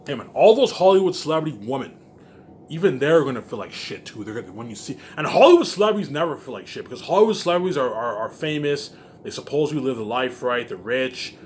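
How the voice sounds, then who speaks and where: A man's voice: mid-range voice, expressive, fast, a little mellow. A man rambling casually to viewers in an emphatic, repetitive, profane way, leaning on the same verbal tags. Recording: faint laundry-machine background noise.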